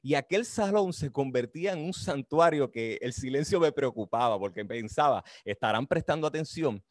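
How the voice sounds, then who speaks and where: A middle-aged man with a Caribbean Spanish accent speaks at a medium pitch on a video call with very little room echo. He presents like a teacher telling a story, deliberate and reflective as he explains. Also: lively intonation, clean studio sound. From Dominican Republic. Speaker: author and history teacher presenting his book. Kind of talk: book talk, explanatory storytelling.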